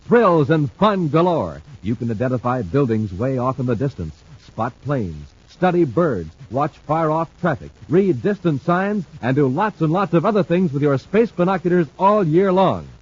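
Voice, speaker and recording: A male radio announcer reading ads and promos in a booming, salesy, emphatic 1950s style. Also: big pitch swings, normal speed, hardly any pauses, a little mellow, husky. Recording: muffled old radio transcription with faint hiss.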